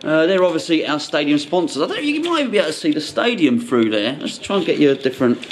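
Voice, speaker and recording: High-voiced man vlogging over noise. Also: very animated, fast talker. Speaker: vlog host at a football match day. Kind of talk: casual vlog chat. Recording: noisy handheld camera recording, busy ambience. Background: clear background noise of venue and match.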